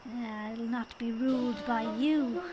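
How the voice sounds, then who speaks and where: A woman's voice: fairly high pitch, fairly even intonation, a little slow, noisy background, close to an everyday voice. A woman playing a small, wily, proud leprechaun king with a sly, folksy way of talking in an audio drama. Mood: sly, teasing. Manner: folksy, sly, teasing storytelling. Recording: music behind the voice. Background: music.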